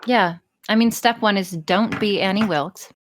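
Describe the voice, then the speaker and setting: A woman's voice: medium pitch, a little slow, a little hiss or hum. A woman chatting casually as an interview guest, blunt, sweary and self-deprecating in the way she talks. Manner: sweary, blunt, self-deprecating, animated storytelling with acted-out asides. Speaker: podcast guest, audio voice performer.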